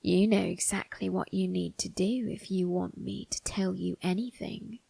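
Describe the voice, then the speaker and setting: A woman voicing an ancient male undertaker in an ASMR roleplay, sly and teasing, with a breathy, husky, creaking voice and formal phrasing. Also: medium pitch, fairly even intonation, dark tone, dry recording. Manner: slow, formal, teasing delivery with drawn-out tag questions.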